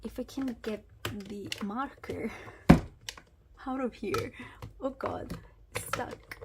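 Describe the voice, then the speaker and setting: A woman's voice: a little high, slow, vocal fry, heavy background noise. A woman chatting casually as she sorts cash into savings challenges, her voice weak from a sore throat and sometimes dropping to a whisper. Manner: chatty, drops to whispers at times. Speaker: budgeting vlogger. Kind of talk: casual chat while doing cash envelope challenges.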